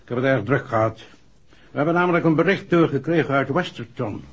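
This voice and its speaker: An actor playing a brisk, matter-of-fact senior police official in a 1960s radio drama. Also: male voice, medium pitch, expressive, slightly rough. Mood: grave, brisk. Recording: muffled old 1960s radio recording.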